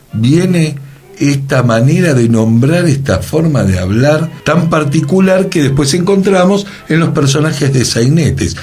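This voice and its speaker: A man's voice: mid-range voice, a little mellow, husky. A man with a Rioplatense Argentine accent presenting a radio programme. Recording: music playing behind the voice. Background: music.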